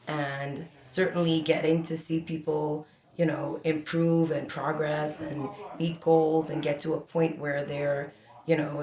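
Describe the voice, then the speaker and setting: A woman's voice: deep voice, a little mellow, roomy sound. A woman in her forties from the Bahamas, a guest in an interview, chatty and talking at length with lots of fillers. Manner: chatty, talks a lot with many fillers. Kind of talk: casual interview.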